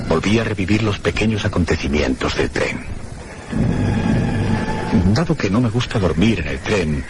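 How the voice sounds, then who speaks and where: An actor playing a gentleman traveller narrating a mystery in a radio drama, measured, literary and formal. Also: low voice, noisy background. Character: reflective gentleman traveller telling his own mystery tale.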